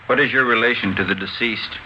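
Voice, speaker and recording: An American man playing a brisk, stern coroner in an old radio drama, putting questions in a dry, procedural way. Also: medium pitch, pushed and bright. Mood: brisk, formal. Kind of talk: 1950s radio drama acting. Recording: dull, hissy old radio recording.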